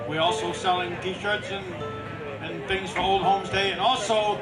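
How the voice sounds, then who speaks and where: A man's voice: sits high, steady tone, normal speed. A man making informal, folksy, self-deprecating announcements as the emcee of an outdoor concert. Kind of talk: informal stage announcements to an audience. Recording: echoey distant outdoor PA, music and crowd.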